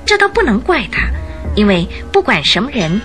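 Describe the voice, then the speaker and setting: A woman giving expressive, suspenseful scripted narration for a detective radio drama. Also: fairly high pitch, normal speed, faint music behind.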